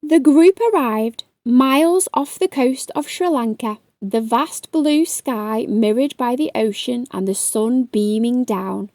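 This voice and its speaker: A woman's voice: a little high, animated, slow, few pauses, warm tone, dry recording, clean studio sound. A woman reading aloud to young children as a storytime host, coaxing them along with questions. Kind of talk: children's read-aloud narration.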